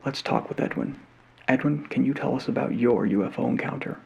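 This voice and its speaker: A man playing a cheery 1950s television talk show host, speaking softly with salesman patter. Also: medium pitch, a little fast, vocal fry, breathy edge, highs cut off, exaggerated voice. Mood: chipper. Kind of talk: 1950s television announcer parody, soft-spoken.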